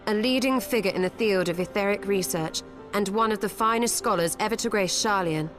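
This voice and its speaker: An actress playing a gracious, scholarly female mage in a fantasy video game, speaking in formal, measured, archaic phrases. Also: medium pitch, music in the background, close to an everyday voice. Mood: composed, thoughtful.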